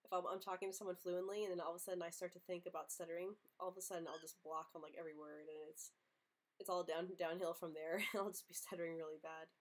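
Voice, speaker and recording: Young woman host who stutters, open and earnest, slightly low voice, casual live Q&A. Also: flat delivery, fast. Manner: stutters often, with repeated sounds and blocks; earnest, open, laughs easily. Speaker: livestream host answering viewer questions.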